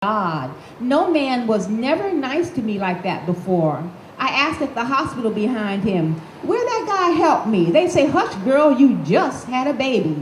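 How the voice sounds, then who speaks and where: A woman's voice: a little high, big pitch swings, a little slow, few pauses, pitched above the performer's own voice. A woman reading aloud in character as a blunt, defiant sixteen-year-old girl from Harlem, with a streetwise, profane way of talking. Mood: urgent. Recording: echoey room with faint noise.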